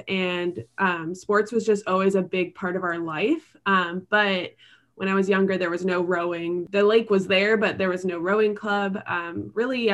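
A woman from the Bahamas, a guest on an interview, talking in a friendly, upbeat way. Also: fairly low pitch. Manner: upbeat and friendly, quick to laugh. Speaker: guest, past club president. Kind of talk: casual interview chat.

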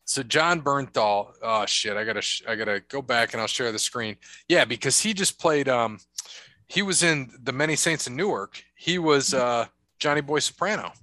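A man's voice: medium pitch, expressive, moderate pace, bright, forceful tone, no room sound. A man hosting a casual chat, rambling through stories and laughing often. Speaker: podcast host.

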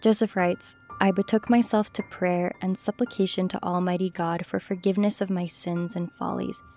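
A woman narrates a scripted podcast as its host. Her voice is on the low side and very mellow, with a noticeable fry, delivered as prepared narration.

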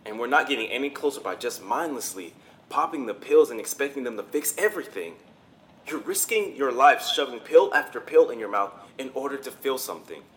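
A troubled figure in an audio drama, earnest and urgent. His medium-pitched voice swings expressively over faint background noise. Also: sing-song, a little fast, fairly bright tone. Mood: pleading, remorseful. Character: earnest, troubled man pleading against pill dependence.

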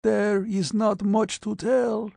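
A man voicing a frail, withered young patient who speaks, weak and halting. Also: sits high, level tone, gravelly, mellow, no echo, pitched above the performer's own voice, heavily acted. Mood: weak, dazed. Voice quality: cracked whisper.

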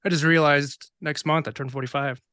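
Male podcast host, 40s. Slightly higher voice, dry sound, informal and joking. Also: fairly even intonation, a little fast, pushed and bright, no room sound. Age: forties. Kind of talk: casual chat.

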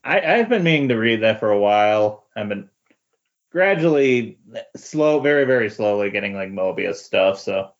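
A man chatting casually about comics on a podcast, halting and repeating words as he thinks. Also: lowish voice, lively intonation. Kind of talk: casual comic book discussion. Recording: dull lo-fi voice recording.

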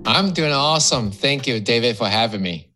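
A man from Hong Kong, a guest entrepreneur, speaking in an upbeat, halting way with repeated words. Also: mid-range voice, lively intonation, pushed and bright, faint music behind. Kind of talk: casual interview conversation. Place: Miami.

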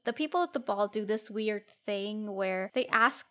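Female podcast co-host, medium pitch, relaxed film discussion with articulate, analytical phrasing. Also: moderate pace. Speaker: podcast co-host reviewing films.

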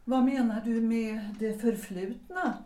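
Woman acting a composed society wife, mellow voice. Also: fairly high pitch, close to an everyday voice. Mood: guarded. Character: composed, guarded married woman of 1900s society. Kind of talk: period stage play as audio drama. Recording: roomy echo.

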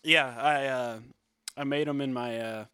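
A man in his thirties, a musician, chatting casually on a podcast in a soft, fairly high voice, rambling with lots of fillers and restarts. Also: steady tone, unhurried, smooth, no echo, clean studio sound.

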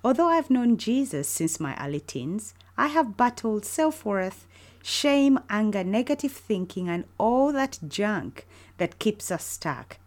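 A woman's voice: medium pitch, big pitch swings, a little slow. A middle-aged woman from Uganda hosting a faith-based podcast, speaking in an earnest, encouraging way. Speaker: podcast host.

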